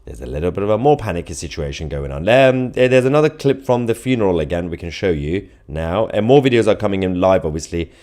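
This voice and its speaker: A man's voice: low voice, expressive, quick pace, hardly any pauses, warm tone. A man hosting a live news commentary show, speaking to viewers in a sardonic, mocking and emphatic way. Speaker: host of online political news show.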